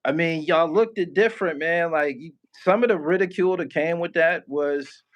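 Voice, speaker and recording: Easygoing interviewer guy, slightly high voice, questions everything, casual slang. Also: moderate pace, dull audio. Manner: laid-back, challenging, laughs and pushes back.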